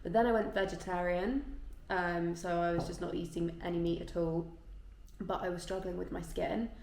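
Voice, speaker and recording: A woman in her twenties with a slightly low, creaky voice, talking casually as a vlogger. She chats informally, as if to friends. The room is noticeably echoey. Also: fairly even intonation, moderate pace, a little mellow. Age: 20s. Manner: chatty, informal, frequent "like". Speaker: vlogger answering viewer questions. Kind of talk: casual chat to camera, Q&A. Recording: echoey room with faint background noise.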